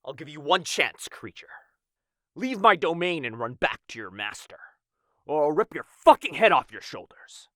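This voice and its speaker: A man playing a protective vampire lord in an ASMR boyfriend roleplay, soft-spoken and tender with close whispers. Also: fairly high pitch, sing-song, normal speed, no echo. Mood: furious. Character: protective young vampire lord, gentle but fierce.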